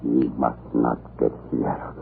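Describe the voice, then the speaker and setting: Very deep, gravelly cruel commandant. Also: male voice, completely flat delivery, heavily acted. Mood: grim scheming. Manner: menacing, domineering, deliberate. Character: cruel, scheming male commandant of a prison island. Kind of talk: old-time radio drama acting. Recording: muffled, noisy old radio recording.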